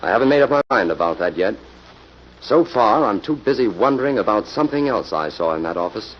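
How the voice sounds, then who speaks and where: A man's voice: fairly low pitch, expressive, fairly quick, close to an everyday voice. A man playing a composed, determined investigator in an old-time radio drama. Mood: probing. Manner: composed, probing, businesslike. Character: calm, determined male investigator working with police. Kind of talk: 1940s radio acting. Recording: muffled, noisy old radio recording.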